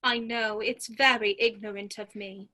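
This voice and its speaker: A woman's voice: mid-range voice, fairly even intonation, unhurried, sharp tone, rough recording. A woman playing a polite, earnest, curious little girl in a dramatic reading of a children's classic. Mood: polite, puzzled.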